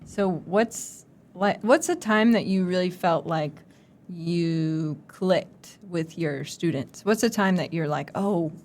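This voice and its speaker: A young woman from the United States hosts a relaxed conversation. Her voice sits a little low, and she tells stories with lively energy, scattering "like" through her sentences. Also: slow. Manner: lively storyteller, many "like" fillers. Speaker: podcast host. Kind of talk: casual chat.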